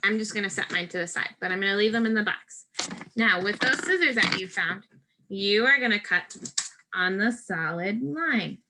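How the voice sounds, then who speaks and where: A woman's voice: medium pitch, normal speed, noisy background. A woman teacher giving a step-by-step craft lesson to first graders, speaking directly to children in simple, patient instructions.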